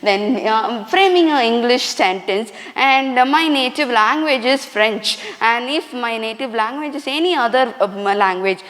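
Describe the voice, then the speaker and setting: A woman lecturer giving a classroom lecture, halting often with restarts and fillers. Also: fairly high pitch, expressive, fairly bright tone, big-room sound.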